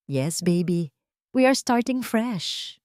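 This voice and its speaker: A woman voicing a dignified, resolute wife and mother with a firm, measured manner in an audio story. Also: lowish voice, lively intonation, a little mellow, no room sound, clean studio sound. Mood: tender reassurance. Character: Isabela, resolute wronged wife and gentle mother.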